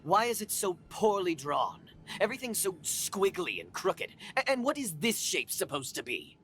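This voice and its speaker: An actor playing a shrewd, secretive foreign agent in a video game, with a measured, formal, condescending manner. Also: male voice, sits high, big pitch swings, fairly bright tone, slight background noise. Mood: disdainful.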